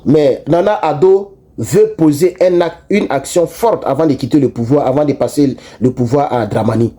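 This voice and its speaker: A man giving casual political commentary to his online viewers, animated and repetitive, with frequent restarts. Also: fairly high pitch, moderate pace. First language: not French.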